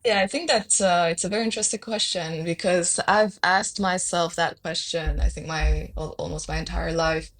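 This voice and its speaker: A young woman from Vietnam in a casual interview, telling her story in a chatty flow. Her voice sits a little low, and she often pauses on fillers and corrects herself mid-sentence. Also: fairly even intonation. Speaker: guest, consultant.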